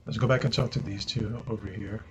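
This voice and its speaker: A man with a naturally low voice chatting casually as he streams a game, often asking "right?" and addressing viewers as guys. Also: steady tone, fairly quick, lo-fi sound, a crowd in the background. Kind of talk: casual gameplay commentary. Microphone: desk mic he moves around.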